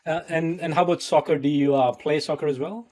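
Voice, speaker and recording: A man's voice: a little high, steady tone, fast talker, a little mellow. A man from India, hosting a podcast and asking friendly, chatty questions. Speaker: podcast host. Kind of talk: casual podcast interview.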